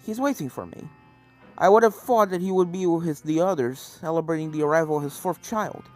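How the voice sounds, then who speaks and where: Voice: medium pitch, a little fast, a little mellow, lightly acted. A reader voicing a grumpy, envious older male unicorn in a fantasy story, curt and grumbling, over game music. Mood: sullen.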